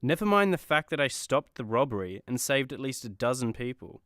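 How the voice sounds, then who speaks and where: A petulant, vain male superhero played by a man in a comedy radio play. He grumbles sarcastically in a clean, crisp voice, recorded close and dry. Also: mid-range voice, very animated, fairly quick, fairly bright tone, dry recording. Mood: indignant. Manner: indignant, sarcastic griping.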